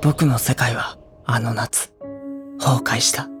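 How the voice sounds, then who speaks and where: Introspective teenage schoolboy: low, soft, hesitant, anguished audio drama narration over a clear music bed. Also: female voice, fairly even intonation, takes it slowly. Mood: sorrowful, brooding. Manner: soft-spoken, hesitant, brooding. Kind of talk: Japanese audio drama acting with first-person monologue.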